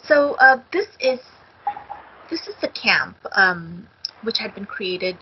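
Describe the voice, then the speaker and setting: A middle-aged woman from India, co-author, talking on a video call from a home study with a headset, halting with many ums. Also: mid-range voice, lively intonation, background noise. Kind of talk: casual talk on a video call. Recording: dull lo-fi video call audio.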